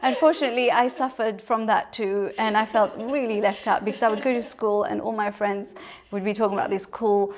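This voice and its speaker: A woman's voice: medium pitch, steady tone, a little fast, hardly any pauses. A middle-aged woman author from Mauritius, chatting as an interview guest, laughing often and restarting her sentences. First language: English. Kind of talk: casual interview conversation.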